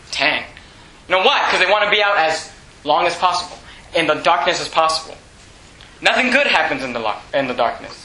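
A man in his twenties preaching an impassioned sermon with scripture readings, speaking in an emphatic, repetitive way that often pushes toward shouting. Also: a little high. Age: 20s. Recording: echoey room with faint noise.

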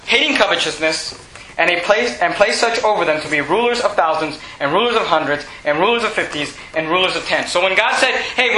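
A man in his twenties preaching an impassioned sermon with scripture readings, speaking in an emphatic, repetitive way that often pushes toward shouting. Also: high-pitched. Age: 20s. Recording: echoey room with faint noise.

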